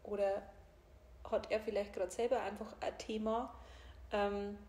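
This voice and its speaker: A woman's voice: medium pitch, level tone, normal speed, a little mellow. A woman coach with a German regional dialect, speaking openly and laughing easily, with many fillers. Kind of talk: casual conversation. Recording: echoey room.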